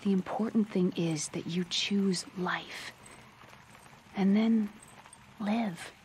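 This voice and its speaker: Slow, solemn, gentle woman scientist , acted. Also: mid-range voice, fairly even intonation, a little hiss or hum, natural voice. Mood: solemn, tender. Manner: solemn, tender, deliberate. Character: earnest, gentle female scientist urging a soldier to live. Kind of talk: dramatic video game voice acting.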